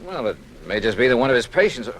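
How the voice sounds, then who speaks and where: An actor playing a brisk, probing insurance investigator in a 1950s radio detective drama, with a clipped, questioning manner. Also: male voice, medium pitch, very animated, fairly bright tone. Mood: probing, thoughtful. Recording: faintly noisy vintage radio recording.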